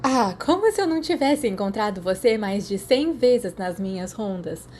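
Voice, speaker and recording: A woman's voice: medium pitch, lively intonation, normal speed, a little mellow, a little hiss or hum, close to an everyday voice. A Brazilian woman voicing feisty, bossy teenage girls in an audiobook, accusing and exclaiming. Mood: bantering. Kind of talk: audiobook character voice.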